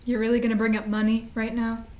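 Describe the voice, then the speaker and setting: An actress plays a prickly, immature younger sister in mourning. She is a woman with a fairly high voice, wounded in temper, heard in a staged drama reading. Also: monotone, quick pace, a little mellow, lightly acted. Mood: hurt, prickly.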